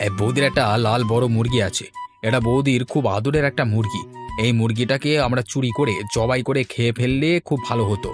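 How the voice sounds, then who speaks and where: A man's voice: medium pitch, fairly even intonation, brisk, over music, natural voice. A man voicing an emphatic, argumentative young village lad who schemes with friends in a cartoon story. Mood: scheming.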